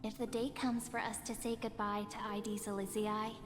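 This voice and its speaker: A woman's voice: medium pitch, little pitch movement, brisk, lightly acted. A woman voicing a playful, teasing young village girl who reads fortunes in a video game. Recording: reverberant game audio under music.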